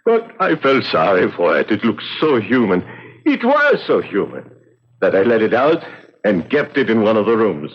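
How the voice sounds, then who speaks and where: Desperate, husky confessing wretch. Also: male voice, fairly low pitch, normal speed, heavily acted. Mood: pleading, regretful. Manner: pleading, excitable, self-justifying. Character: desperate, guilt-ridden poor sailor confessing. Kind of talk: 1970s radio drama acting. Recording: dull, lo-fi old radio recording.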